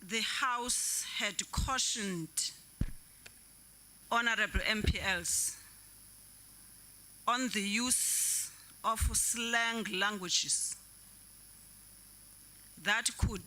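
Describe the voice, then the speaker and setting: A man presiding over a legislature, measured and halting as he cautions members from a desk microphone in the chamber. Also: high-pitched, sharp tone, low-quality recording. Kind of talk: formal parliamentary chairing.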